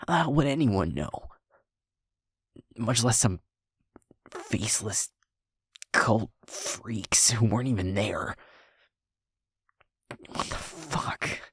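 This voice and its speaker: Low, husky man playing a shaken young boyfriend. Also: lively intonation, no room sound, studio quality, heavily acted. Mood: angry, indignant. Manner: halting, hushed, stammering, sighing. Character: sweet young werewolf boyfriend, self-conscious, shaken survivor. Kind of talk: intimate audio roleplay acting.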